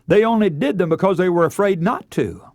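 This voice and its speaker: A man's voice: high voice, expressive, fairly quick, a little mellow, no echo, clean studio sound. A man preaching a radio sermon in an earnest, emphatic manner, leaning on stories and rhetorical questions.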